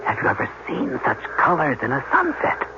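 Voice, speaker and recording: A man's voice: on the high side, wildly swooping pitch, normal speed. An actor in a radio drama playing an earnest, urgent scientist looking after his crewmate. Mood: reassuring, awed. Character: steadier male scientist helping his injured crewmate, awestruck. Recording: noisy, muffled old radio broadcast.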